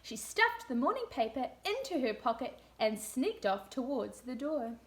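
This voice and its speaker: A woman reading a picture book aloud to young children in a deliberate, animated storytelling manner. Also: high-pitched, slight background noise. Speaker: teacher reading picture books to a class.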